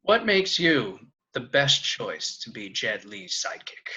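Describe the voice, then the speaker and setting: Man reading serene kung fu master, bright tone, lively pitch, table read over video call. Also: lo-fi sound, heavily acted. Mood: solemn. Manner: solemn, measured proverb-like delivery. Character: calm elderly male kung fu master.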